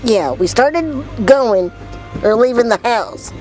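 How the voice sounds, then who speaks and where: Woman, 20s, casual slangy vlogger, unhurried delivery, in-car recording with music behind. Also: on the high side, lively intonation, darkish tone, rough recording. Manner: informal, slangy, exclamatory. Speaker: vlog host. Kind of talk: casual vlog chat.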